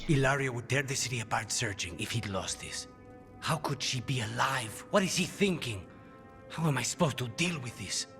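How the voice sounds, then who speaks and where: A man's voice: medium pitch, animated, normal speed, a bit bright, faint music behind. An actor playing a brooding, conflicted male assassin in a video game, intense and troubled in manner. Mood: tense.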